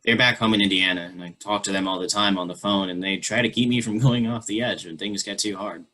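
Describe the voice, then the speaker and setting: Low-voiced reader for an emotional teen role in a video call play reading, sincere and subdued. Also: male voice, steady tone, fast talker, rough recording. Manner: earnest, quietly emotional.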